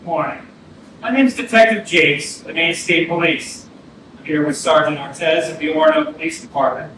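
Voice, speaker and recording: A man's voice: fairly high pitch, moderate pace, lightly acted. A man playing a state police detective, folksy and conversational as he questions someone in a staged reading. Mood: official, chatty. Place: arts centre theatre. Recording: echoey theatre, distant mic, room noise.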